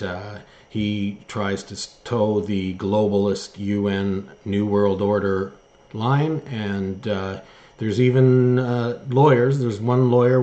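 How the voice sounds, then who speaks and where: A man hosting an online news show, giving opinion commentary and reading articles aloud in a halting way with emphatic stress. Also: on the low side, mellow, dull audio. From Canada.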